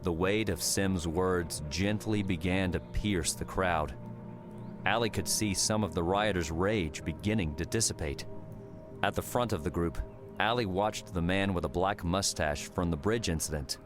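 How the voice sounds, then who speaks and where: A man's voice: sits low, unhurried. A man narrating an audiobook story in a dramatic, scripted storytelling style. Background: music.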